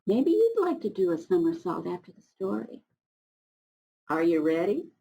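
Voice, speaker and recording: A woman's voice: lowish voice, huge pitch swings, normal speed, gravelly, dark tone, breathy edge. An American woman reading a picture book aloud to young students, chatting to them, explaining words and asking questions as she goes. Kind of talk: children's read-aloud with chatty asides.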